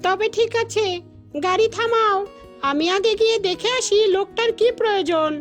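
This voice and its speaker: Woman as an extremely high-pitched loyal attendant. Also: moderate pace, faint music behind. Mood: concerned. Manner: deferential, wary. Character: cautious, loyal attendant of a princess. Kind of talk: children's cartoon voice acting.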